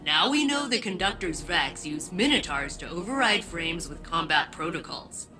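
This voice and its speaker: A woman's voice: fairly low pitch, expressive, moderate pace, over music, natural voice. An actress playing a keen, practical female researcher in a game, explaining things in a conversational, matter-of-fact way. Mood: amazed, businesslike.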